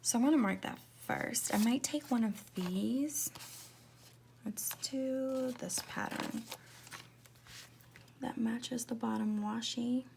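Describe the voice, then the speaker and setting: A woman in her twenties chatting casually in a rambling, confiding way, laughing often and slipping into soft whispers as she talks through decorating her planner. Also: on the high side, slow, creaky, long pauses, slight background noise. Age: 20s. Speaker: planner vlogger.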